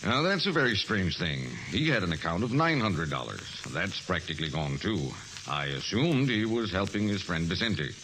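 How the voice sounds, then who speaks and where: Deep-voiced, expressive banker. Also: male voice, moderate pace, hardly any pauses, a little hiss or hum, full character voice, low-quality recording. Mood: concerned, explanatory. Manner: formal, forthcoming. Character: courteous, concerned small-town male banker. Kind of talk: 1940s radio drama acting.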